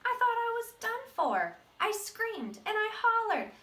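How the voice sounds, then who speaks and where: Storybook role: naughty little boy seed, played by a woman, bright and swooping, dramatic confessions with stretched-out words. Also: very high voice, sing-song, pitched above the performer's own voice, full character voice. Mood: dramatic, fearful. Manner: dramatic, emphatic delivery with drawn-out words. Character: mischievous, self-declared bad little sunflower seed, male, confessional. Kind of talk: animated children's storybook read-aloud. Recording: roomy echo, distant microphone.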